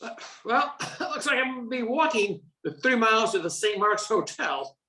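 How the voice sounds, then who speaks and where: A man's voice: extremely high pitch, big pitch swings, moderate pace, sharp tone, exaggerated voice. A man playing an awkward, flustered professor in a radio comedy play, hesitant and prone to stammering. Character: awkward, flustered, charmless male professor smitten with a colleague.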